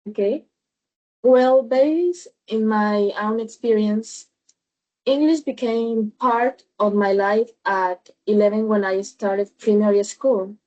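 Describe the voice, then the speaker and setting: A woman's voice: medium pitch, takes it slowly, dark tone, muffled recording. A woman in her early twenties with a Colombian Spanish accent, giving a prepared personal account as a podcast panelist. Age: early 20s. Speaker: podcast panelist, language student.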